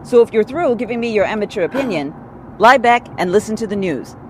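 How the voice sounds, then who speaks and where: A woman's voice: medium pitch, expressive, a little fast, background noise, lightly acted. A woman playing a tough but protective career robber in an audio drama, speaking bluntly and with profanity in a steadying way. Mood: firm, insistent.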